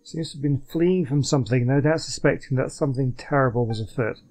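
A man's voice: medium pitch, fairly quick, darkish tone, faint music behind. A man giving casual playthrough commentary on a video game in a musing, conversational way. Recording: faint game ambience behind.

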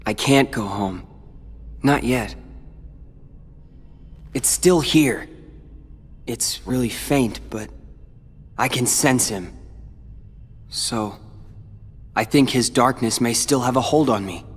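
A man's voice: mid-range voice, takes it slowly, long pauses, husky. An actor playing a brooding, defiant teenage boy hero in a video game, speaking in a terse, earnest, intense way. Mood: weary, troubled. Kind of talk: dramatic video game acting. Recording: long hall reverb, music underneath.

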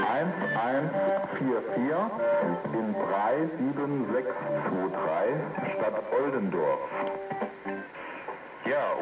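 A man from Germany hosting a hobby radio show, making announcements, heard over shortwave radio. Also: on the low side. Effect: shortwave radio broadcast. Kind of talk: radio show sign-off and thank-you announcements. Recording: noisy shortwave radio reception with static. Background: radio static and hiss.

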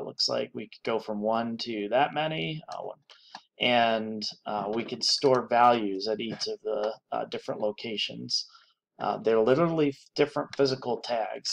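A man giving an unscripted screen-recorded software tutorial, thinking aloud with many pauses and restarts. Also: medium pitch, vocal fry.

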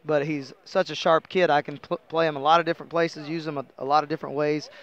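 A man's voice: fairly high pitch, flat delivery, fast, a bit bright, highs cut off. A middle-aged American man, a basketball coach explaining things conversationally in a postgame interview on a headset mic. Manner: conversational, explanatory, frequent "you know". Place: indoor interview table at a sports arena.

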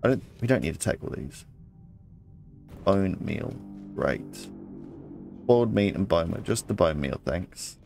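A man's voice: deep voice, expressive, unhurried, gravelly, long pauses, darkish tone, soft background music. A man playing a video game, casually commenting, reading in-game texts aloud and muttering asides to himself. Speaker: let's play gamer.

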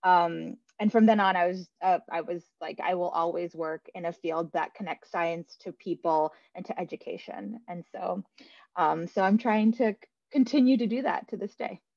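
A woman's voice: fairly low pitch, moderate pace, creaky, darkish tone, dry recording. A woman, telling her own story casually as a panelist on a video call. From born in Saint Petersburg, Russia, moved to America. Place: small room at home. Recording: slightly dull, dry video-call audio.

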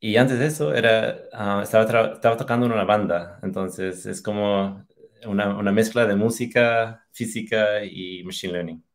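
A man's voice: lowish voice, steady tone, moderate pace. A man in his thirties from Australia, a native English speaker, giving casual interview answers with an Australian accent. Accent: Australian-accented Spanish. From Australia, Tasmania. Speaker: podcast guest, machine learning engineer. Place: indoors on a video call. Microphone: video call.

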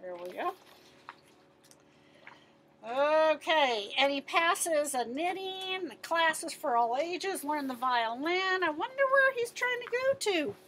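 A woman in her fifties reading a picture book aloud as a teacher, expressive and putting questions to her listeners. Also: high-pitched, slow pace, a little hiss or hum, rough recording. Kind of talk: animated children's picture-book read-aloud.

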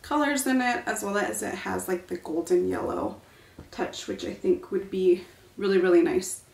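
A young woman filming a casual home decor haul vlog at home, talking to the camera in a relaxed, chatty, enthusiastic way. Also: mid-range voice, moderate pace, vocal fry, reverberant. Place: living room at home, indoors.

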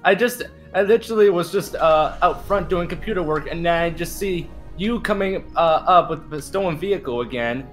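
Man playing a flustered, higher-voiced uncle. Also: moderate pace, few pauses, a bit bright, natural voice. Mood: startled, baffled. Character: flustered male uncle who runs a mechanic shop. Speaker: roleplay player. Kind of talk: improvised game roleplay. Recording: background music behind voice.

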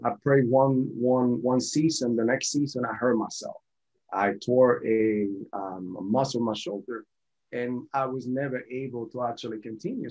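Casting: male podcast guest, forties, mid-pitched voice, slightly muffled video-call sound. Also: darkish tone. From born in Venezuela, raised in Colombia. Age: 40s. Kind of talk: conversational personal storytelling. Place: small indoor home office. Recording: dull, lo-fi video call audio.

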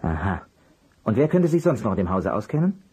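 A man playing a polite, persistent private detective in an audio drama, with a husky voice and a courteous, probing way of asking. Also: lowish voice, big pitch swings, brisk, dark tone, heavily acted. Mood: probing, thoughtful. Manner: courteous, probing, hesitant at times. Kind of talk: German audio drama acting.

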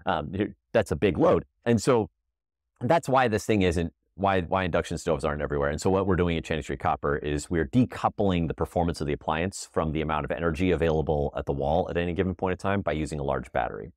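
A man's voice: low voice, quick pace, darkish tone, no echo, studio quality. A man talking casually as an interview guest, explaining things in a plain, conversational way. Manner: conversational, explains with everyday analogies. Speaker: guest, appliance company founder.

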